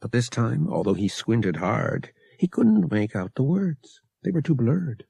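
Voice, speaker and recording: Gravelly male audiobook narrator. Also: mid-range voice, sing-song, a little slow, dark tone, no echo. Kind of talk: dramatic scripted fiction narration.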